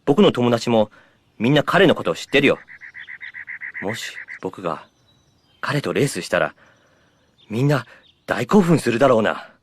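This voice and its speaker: A young drift car from a cartoon, an eager and admiring challenger. The voice is mid-pitched and lively, polite in manner and recorded dry. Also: male voice, fairly quick, pauses often, slightly rough, no echo. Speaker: voice actor. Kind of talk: animated cartoon dialogue.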